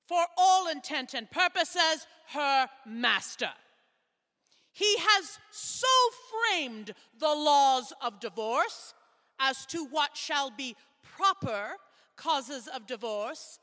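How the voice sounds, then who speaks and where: Lady playing a solemn suffragist giving a speech, bright high voice, halting emphatic phrases, big echoey hall. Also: full character voice. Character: solemn, resolute 1840s suffragist orator reading grievances. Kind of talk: formal declamatory speech in readers theater.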